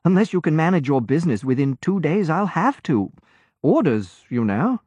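A man's voice: fairly high pitch, big pitch swings, moderate pace, darkish tone, slightly rough, dry recording, voice pushed up. A man reading an audiobook and voicing a cheerful, eager young male naval lieutenant who chats in a confiding way. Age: late teens. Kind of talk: audiobook character voice. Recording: dull, muffled audiobook recording.

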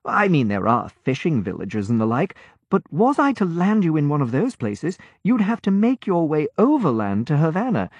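A man's voice: fairly high pitch, huge pitch swings, normal speed, breathy edge, dry recording, voice pushed up, exaggerated voice. A man voicing a chirpy teenage naval lieutenant. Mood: explaining. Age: late teens. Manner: eager, chatty, confiding. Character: cheerful young male naval lieutenant. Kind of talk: audiobook character voice. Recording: dull, muffled audiobook recording.

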